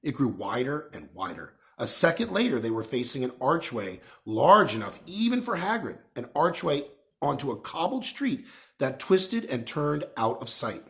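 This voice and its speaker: A man reading a children's novel aloud as an expressive storyteller. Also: medium pitch, moderate pace. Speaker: reader, storyteller.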